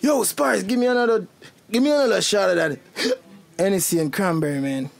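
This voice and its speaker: A man's voice: high-pitched, brisk, vocal fry, background noise. A man playing a tipsy, insistent womanizer in a radio drama, with a Jamaican accent.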